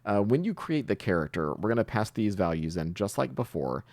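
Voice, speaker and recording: A man giving a casual screencast coding tutorial, talking haltingly as he thinks aloud while typing. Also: fairly low pitch, very animated, darkish tone, no room sound. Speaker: programming tutorial host.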